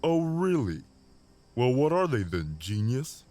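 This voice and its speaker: A man's voice: medium pitch, sing-song, unhurried, darkish tone, soft background music. A man playing a cheeky, sarcastic Marine private in an audio drama, teasing as he talks.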